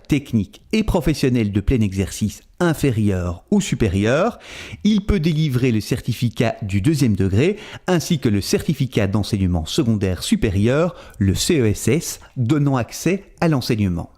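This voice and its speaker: Mellow Belgian man narrating. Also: medium pitch, big pitch swings. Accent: Belgian French. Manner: measured, explanatory. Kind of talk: scripted documentary narration.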